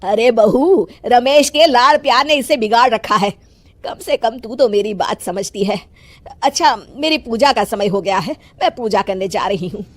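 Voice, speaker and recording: A woman voicing a bossy, scornful old grandmother in a moral story, with a scolding manner and drawn-out exclamations. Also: on the high side. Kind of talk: dramatised Hindi moral story.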